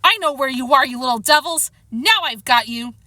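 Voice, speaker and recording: A bossy storybook tomcat, as a woman narrator plays him, high and forceful. The delivery is snappish and urgent, swinging widely in pitch as he gives orders. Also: sing-song, brisk, no room sound, exaggerated voice. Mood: excited. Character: bossy, excitable male cat barking out orders.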